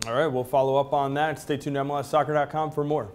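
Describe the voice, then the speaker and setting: A man in his thirties hosting a sports news show, reading the news in a brisk, upbeat anchor style from an office newsroom studio on a lapel mic. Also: medium pitch, little pitch movement, a little hiss or hum. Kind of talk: scripted sports news presenting.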